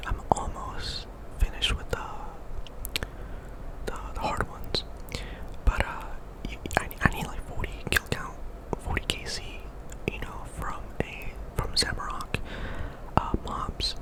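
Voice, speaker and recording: A man speaks entirely in a whisper, giving ASMR commentary over gameplay. He rambles casually and conversationally, as if chatting to viewers while he plays. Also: low-quality recording. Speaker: video game streamer and host.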